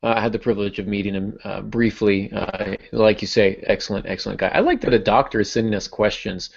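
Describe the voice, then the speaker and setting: Low, creaky-voiced man hosting a podcast. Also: quick pace, dull audio. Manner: self-deprecating joking. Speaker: podcast host and fiction writer. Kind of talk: casual podcast talk.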